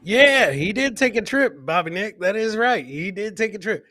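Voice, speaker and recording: A man's voice: sits high, expressive, moderate pace, bright, forceful tone. A man hosting a live comedy clip show, joking and teasing as he comments on videos and repeats his punchlines.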